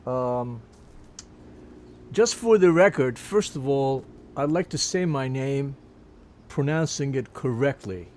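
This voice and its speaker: A man, recalling his life in a casual interview, halting with many fillers and restarts. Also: medium pitch, expressive, a little mellow, a little hiss or hum. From Turkey. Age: 70s. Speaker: interviewee, musician.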